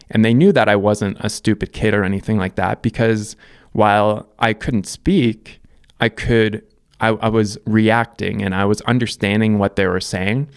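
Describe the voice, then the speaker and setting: Canadian man, 30s, low smooth voice, relaxed reflective storyteller, close dry mic. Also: no room sound. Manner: reflective, loose, lots of fillers and restarts. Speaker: vlogger. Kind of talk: casual life-story monologue.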